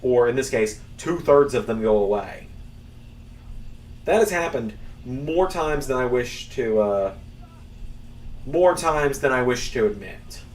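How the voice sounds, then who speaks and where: A man's voice: medium pitch, animated, slow, pauses often, far from the mic, a little hiss or hum, low-quality recording. A young man streaming a wrestling game, chatting casually to viewers in a rambling, self-mocking way that includes swearing. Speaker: gaming streamer. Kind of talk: casual live-stream chat while playing.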